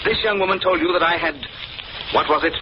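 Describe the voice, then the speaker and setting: An actor playing a suave, taunting villain in a radio thriller, politely mocking and imperious. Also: male voice, high-pitched, a little fast, lightly acted. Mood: imperious, challenging. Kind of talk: old-time radio drama acting. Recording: noisy, muffled vintage radio recording.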